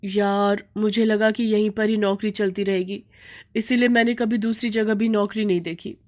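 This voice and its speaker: A woman's voice: mid-range voice, little pitch movement, normal speed, a little mellow, voice pushed up, lightly acted. A woman voicing a headstrong, complaining young working daughter in a storybook tale. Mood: worried. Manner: insistent, complaining.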